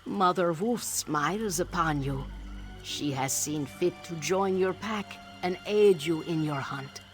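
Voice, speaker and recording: A woman playing a wise, solemn and commanding Nord shamaness in a video game, speaking in a measured, incantatory way. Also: fairly low pitch. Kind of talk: video game character voice acting. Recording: game music behind.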